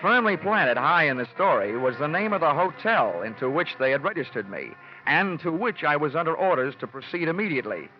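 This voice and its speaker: Wisecracking hardboiled radio detective, animated. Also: male voice, fairly high pitch, a little fast. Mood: dry narration. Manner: sardonic hardboiled delivery, slangy quips. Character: wisecracking, cocky male freelance insurance investigator. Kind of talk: 1940s-50s radio detective drama acting. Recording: dull vintage radio recording, music behind.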